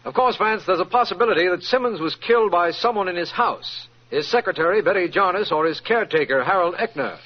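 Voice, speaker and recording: Earnest, higher-pitched radio district attorney. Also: male voice, lively intonation, brisk, few pauses. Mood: puzzled. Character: earnest male district attorney, detective's baffled friend. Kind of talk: old-time radio drama acting. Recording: dull old radio recording, faint noise.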